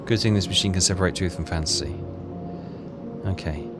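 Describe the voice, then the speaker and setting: Low-voiced man thinking aloud over loud music. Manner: muttering, thinking aloud, halting while reading. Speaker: video game walkthrough player. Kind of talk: casual game commentary mixed with reading in-game text aloud. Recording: voice under loud game music. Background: loud game music.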